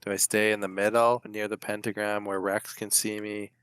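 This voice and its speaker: A man's voice: lowish voice, little pitch movement, normal speed, a bit bright, clear, resonant tone, no room sound. A man talking through his moves as a player in a tabletop game. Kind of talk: casual in-game talk.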